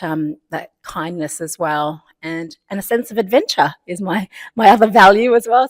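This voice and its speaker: A woman's voice: on the low side, no room sound. A woman in her forties, born in Vietnam, telling her personal story at a panel, laughing often and using many fillers. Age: 40s. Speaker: CEO of a community organisation.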